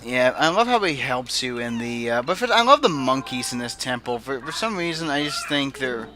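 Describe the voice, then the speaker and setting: A young man commentating a video game in casual chat, rambling with many fillers and restarts, who says his voice is naturally low. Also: lively intonation, brisk. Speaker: let's play commentator.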